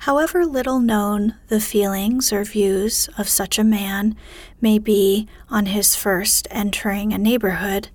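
A woman reading a bedtime story aloud in a soft, hushed ASMR whisper. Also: mid-range voice, steady tone, a little slow, no echo. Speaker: audiobook narrator.

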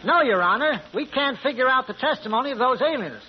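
A man's voice: extremely high pitch, expressive, fairly quick. An actor playing a baffled, plain-spoken jury foreman, folksy and deferential, in a comic old radio drama. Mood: baffled, apologetic. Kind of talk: comic 1930s-40s radio drama acting. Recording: muffled, faintly noisy old radio recording.

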